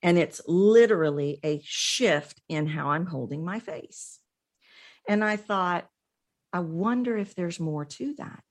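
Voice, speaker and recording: Woman, middle-aged, low voice, expressive interview guest, emphatic storytelling. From Texas, United States.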